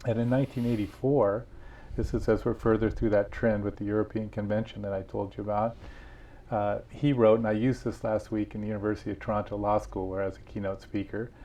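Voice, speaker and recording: A man's voice: fairly low pitch, little pitch movement, a little fast, dark tone, low-quality recording. A man being interviewed about his book, telling stories earnestly with frequent restarts. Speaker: author and guest. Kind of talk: interview conversation.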